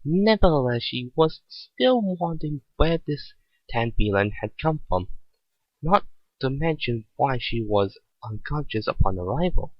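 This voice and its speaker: A man giving an amateur dramatic reading of a story, halting and stumbling over phrases as he goes. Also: mid-range voice, very animated, no room sound. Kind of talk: dramatic reading of fan fiction. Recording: dull lo-fi recording.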